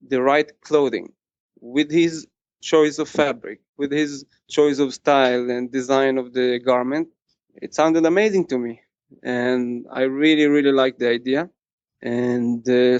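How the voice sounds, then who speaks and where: Smooth-voiced man, close and dry. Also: mid-range voice, a little mellow, no echo, muffled recording. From Israel. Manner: halting, many fillers and restarts, non-native phrasing. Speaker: interview guest, tailoring business owner. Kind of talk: casual interview talk.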